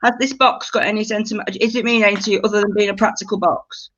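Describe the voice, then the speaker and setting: A woman's voice: medium pitch, fairly even intonation, fast, muffled recording, a crowd in the background. A woman leading an informal writing workshop, giving encouraging instructions and explaining things through examples. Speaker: creative writing workshop leader.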